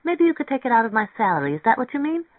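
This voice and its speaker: Narrator voicing an eager, high-pitched, headstrong young woman. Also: big pitch swings, rapid-fire, dry recording, close to an everyday voice. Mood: excited. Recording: thin, phone-like band-limited audio.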